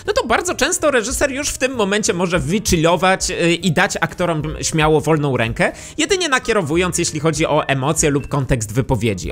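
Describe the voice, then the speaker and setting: A man's voice: a little high, a bit bright. A man in his thirties giving explanatory commentary as a host, speaking close to a studio microphone. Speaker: YouTube host and voice actor. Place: small indoor home studio. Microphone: close studio microphone with pop filter. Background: background music.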